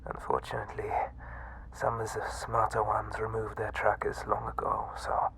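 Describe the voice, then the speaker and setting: Whispery, creaking mad doctor. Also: male voice, on the low side, completely flat delivery, pushed and bright, poor audio. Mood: weary, grave. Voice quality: breathy, whispery. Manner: halting, theatrical. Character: weak, obsessive mad scientist doctor running a monster containment site. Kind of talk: ASMR horror roleplay acting.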